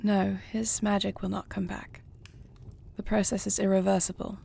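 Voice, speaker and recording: A husky, slightly gravelly dragon queen acted by a woman speaks in an intimate fantasy audio roleplay. Her phrasing is formal and regal, yet soft and tender toward her beloved. She sounds resolute and measured, with breath in the voice. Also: mid-range voice, level tone. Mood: measured, stately. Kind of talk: intimate audio roleplay acting, addressing the listener.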